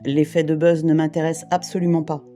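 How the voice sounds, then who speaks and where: A woman in her fifties from the Paris region, reading a personal testimony in a measured, formal way. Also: deep voice, little pitch movement, warm tone. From France, Île-de-France. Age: 50s. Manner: measured, formal, list-like delivery. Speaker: brief therapist and volunteer rescuer. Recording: guitar music behind.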